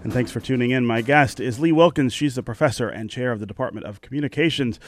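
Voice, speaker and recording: Guy hosting a radio talk show, medium pitch, informal n a bit teasing, faint music around. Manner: teasing, informal, halting phrasing. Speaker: radio host. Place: radio studio.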